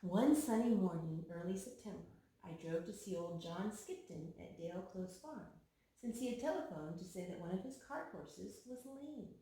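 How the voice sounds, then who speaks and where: A woman reading a picture book aloud to young children, pausing to explain things to them. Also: on the low side, lively intonation, mellow. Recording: very echoey room with some noise.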